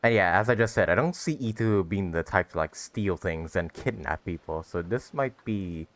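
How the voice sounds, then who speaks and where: Very low-voiced woman gaming streamer. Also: expressive, moderate pace. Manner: amused, chatty, reacts to game. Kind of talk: casual let's play commentary. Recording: game music behind.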